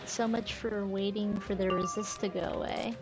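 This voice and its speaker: A woman's voice: medium pitch, fairly even intonation, moderate pace. A woman giving casual gameplay commentary as a streamer, thinking aloud as she plays. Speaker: game streamer. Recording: game music under the voice. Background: video game music.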